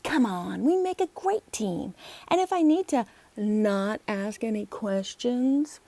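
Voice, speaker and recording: A woman's voice: on the high side, lively intonation, slow pace, mellow, no room sound, heavily acted. A woman voicing a dramatic, bossy, cheeky young girl detective in a children's storybook, with a theatrical, insistent manner. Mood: cheeky, pushy.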